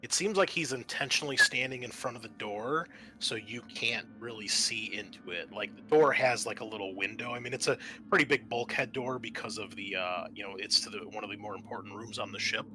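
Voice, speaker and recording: A man narrating a tabletop roleplaying game as game master, pausing often mid-sentence. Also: lowish voice, over music.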